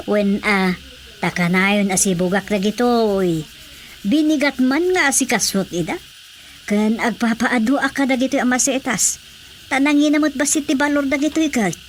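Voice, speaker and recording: A woman's voice: a little high, normal speed, a little hiss or hum, close to an everyday voice. An actress with an Ilocano accent playing a chatty, teasing village woman who loves gardening in a radio drama comedy. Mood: chatty, encouraging.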